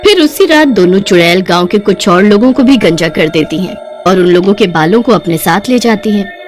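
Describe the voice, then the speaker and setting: A woman's voice: mid-range voice, expressive, normal speed. Woman narrating a cartoon story over music.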